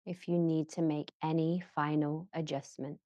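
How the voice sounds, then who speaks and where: A woman guiding a yoga nidra relaxation in a gentle, measured manner, leaving long pauses between short phrases. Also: low voice, little pitch movement, no echo. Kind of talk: slow guided relaxation meditation.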